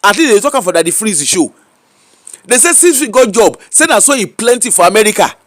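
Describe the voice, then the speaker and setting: A man's voice: high-pitched, sing-song, a little fast, fairly bright tone, no echo, studio quality. A man with a Nigerian accent giving casual, mocking commentary full of rhetorical questions. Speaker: social media commentator. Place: UK-based.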